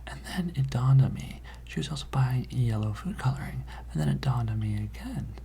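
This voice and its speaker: An ASMR performer playing a gossipy, dramatic high school student, rambling in a hushed, conspiratorial way. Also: lively intonation, normal speed, a little hiss or hum. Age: teenage character. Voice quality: breathy whisper with creaky edges. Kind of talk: ASMR roleplay.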